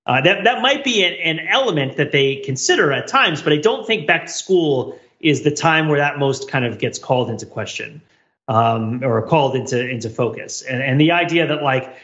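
Bright, high-pitched man thinking aloud. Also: animated, normal speed, dull audio. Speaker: podcast host. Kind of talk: casual panel discussion.

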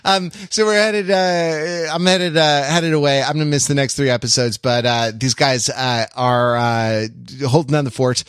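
A man hosting a podcast in casual conversation, talking in a halting, digressive way as he thinks aloud. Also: medium pitch, steady tone, a bit bright, smooth, no echo. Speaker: podcast host.

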